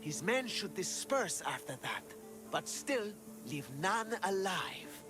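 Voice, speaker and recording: Jealous lover for a video game: high, very animated, haughty and sneering, music behind. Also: male voice, takes it slowly, full character voice. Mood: scornful. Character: jealous, lovesick young man giving mercenary orders. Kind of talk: video game dialogue acting.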